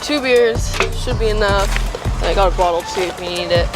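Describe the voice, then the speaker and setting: A young woman plays a laid-back party friend in an amateur skit, loud, slangy and breezy. Her voice sits at an everyday middle pitch. Music plays clearly in the background. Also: fairly quick, close to an everyday voice.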